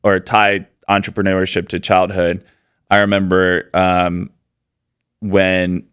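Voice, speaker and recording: A man in his thirties, raised in Poland, answering interview questions in a relaxed, rambling way with lots of fillers. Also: deep voice, slow pace, clean tone, no echo, clean studio sound. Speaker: podcast guest, business owner.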